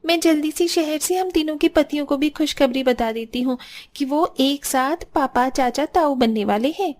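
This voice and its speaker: A woman's voice: sits high, moderate pace, darkish tone, pitched above the performer's own voice, lightly acted. A woman voicing a young, caring daughter-in-law in a cartoon family story, emotive yet respectful. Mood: excited, teasing.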